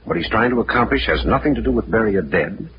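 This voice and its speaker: An actor playing a level-headed male detective leader in 1940s radio style, explaining things deliberately and with authority. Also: fairly low pitch, lively intonation. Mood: grave, reasoning. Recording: noisy, muffled old-time radio recording.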